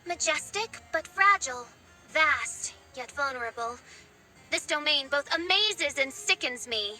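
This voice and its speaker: High, bright, imperious young princess. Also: female voice, lively intonation, unhurried, faint music behind, full character voice. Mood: grandiose musing. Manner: pompous, archaic theatrical diction. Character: haughty theatrical teenage princess, grandiose and imperious. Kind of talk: anime game voice acting.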